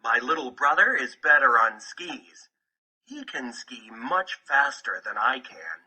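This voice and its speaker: A man's voice: medium pitch, sing-song, a little slow, bright, forceful tone, breathy edge, poor audio. A man narrating a children's picture book from a script. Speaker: audiobook narrator.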